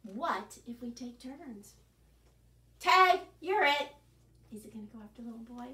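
A woman's voice: a little high, measured pace, reverberant, recorded from a distance. A woman in her sixties, a children's librarian, reading a picture book aloud with gasps and sing-song songs, recorded in a living room by a camera set back from her. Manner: animated, gasping, sing-song. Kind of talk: storytime reading and singing. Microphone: camera set back across the room.

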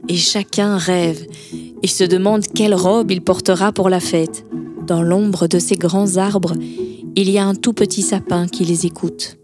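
A woman's voice: on the low side, steady tone, measured pace. A woman narrating a scripted children's story as a storyteller. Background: soft background music.

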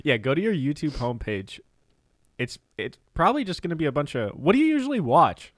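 A man's voice: on the high side, big pitch swings, brisk, no echo. A young man co-hosting a podcast in casual chat, rambling and laughing often, close to a studio mic in a small room. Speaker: podcast co-host. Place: small room with posters, indoors. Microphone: studio mic close up, headphones.

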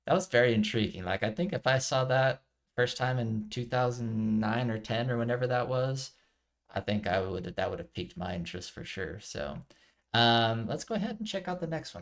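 A man's voice: lowish voice, lively intonation, moderate pace. A middle-aged man giving casual reaction commentary as a video host, musing aloud as he watches. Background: trailer music.

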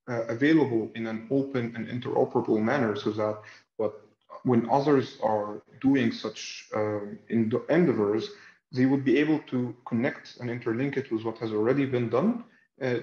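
A man presenting research in an online scholarly discussion, answering in formal wording with hesitant pauses. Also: mid-range voice, mellow. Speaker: academic researcher, lecture presenter. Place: indoor room on video call. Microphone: video call, sitting back from camera. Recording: dull, roomy video-call audio.

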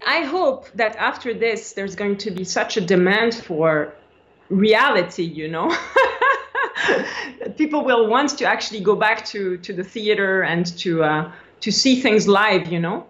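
A woman's voice: mid-range voice, animated, normal speed, a bit bright. A woman in her forties speaks over a muffled video-call line from a small room. She talks in a loose, chatty way, restarting sentences and laughing often. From Switzerland. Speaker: guest, actress. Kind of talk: casual video-call interview. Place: small indoor room by a window. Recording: dull lo-fi video-call audio.

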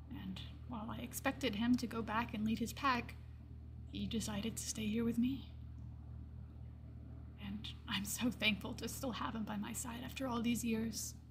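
A woman plays an aged lion-folk druid from a fantasy tabletop game, speaking in a fairly high voice. The delivery is gentle and reflective, with wistful pauses and phrases that fade into a hush. There is some noise on the recording. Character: gentle old gray-furred lion-folk druid in metal armor.

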